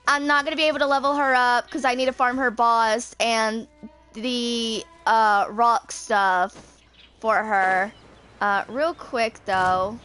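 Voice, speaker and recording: High-voiced young woman streaming a game. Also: flat delivery, takes it slowly, a bit bright, slight background noise. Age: 20s. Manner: rambling, thinking aloud. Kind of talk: casual rambling gameplay commentary.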